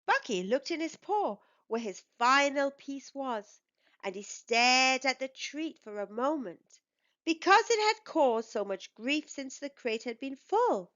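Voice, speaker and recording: High-pitched, animated woman reading a storybook. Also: lo-fi sound. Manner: expressive, deliberate. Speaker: storyteller reading aloud for children. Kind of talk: rhyming picture book narration.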